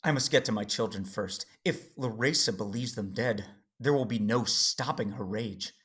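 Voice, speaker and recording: A man playing an earnest, worried Jedi father who tells his story in serious, deliberate audio drama narration. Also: lowish voice, fairly bright tone, lightly acted. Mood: grave, worried. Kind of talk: first-person audio drama narration and dialogue.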